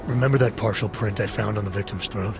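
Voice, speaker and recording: An actor playing a serious, guarded and quietly stubborn investigator in a video game, speaking in terse, deliberate lines. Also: male voice, lowish voice, fairly even intonation, creaky, background noise. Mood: firm, explaining. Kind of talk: video game cutscene acting.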